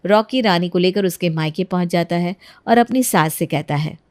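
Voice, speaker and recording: A woman's voice: on the low side, moderate pace, a little mellow, close to an everyday voice. A woman giving scripted narration for a family moral story.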